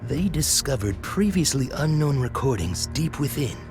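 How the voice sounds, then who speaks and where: A man's voice: medium pitch, very animated, moderate pace. A man narrating a fantasy game as a solemn, scripted storyteller. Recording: music behind the voice.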